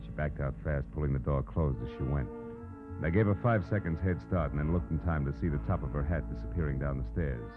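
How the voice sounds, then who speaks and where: A very low-voiced private detective in an old radio drama, dry, clipped and wisecracking. The sound is muffled, with music underneath. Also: male voice, fast. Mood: terse narrating. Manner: clipped, slangy, dry tough-guy delivery. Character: hard-boiled wisecracking male private detective. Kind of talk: 1940s radio detective acting and first-person narration.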